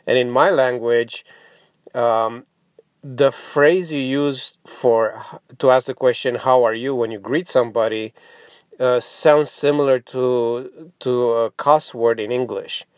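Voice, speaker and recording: A man whose first language is Romanian, giving an informal explanatory podcast monologue in a halting, reflective manner with frequent pauses. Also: mid-range voice, steady tone, no room sound, studio quality. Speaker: podcast host.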